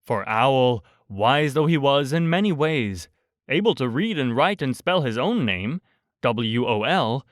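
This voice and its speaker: A man narrating a bedtime storybook as an audiobook reader, in a measured, expressive storytelling manner. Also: medium pitch, no echo, studio quality.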